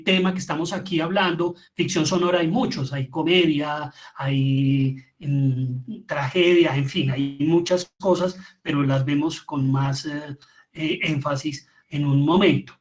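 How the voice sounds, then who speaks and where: A man with a Colombian accent speaks in a notably high register for a male voice. He is middle-aged and lectures informally, explaining with emphasis and stacking phrases as he goes. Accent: Colombian Spanish. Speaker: lecturer, theatre and radio drama maker. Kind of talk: informal online lecture on audio fiction.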